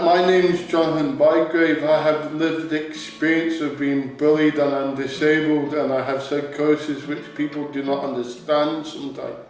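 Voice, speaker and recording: A man with a slightly high voice shares his experience plainly. His pitch is flat, the room is very echoey and guitar music runs behind him. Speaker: project participant sharing lived experience. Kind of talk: personal testimony.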